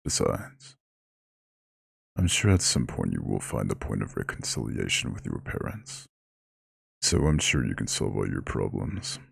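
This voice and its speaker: A man voicing an ancient eldritch god in an ASMR roleplay, proud and lordly yet tender, with a very deep, husky, gravelly growl. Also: big pitch swings, a bit bright, no room sound, clean studio sound, exaggerated voice. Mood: gentle, consoling. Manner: lordly, formal, slow comforting address. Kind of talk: scripted ASMR roleplay monologue.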